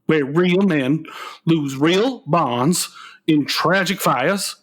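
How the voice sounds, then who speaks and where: Radio comedy son: male actor as sulky, plaintive would-be country singer, unhurried, halting drawl. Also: a little high. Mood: mournful, self-pitying. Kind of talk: comedic radio play acting.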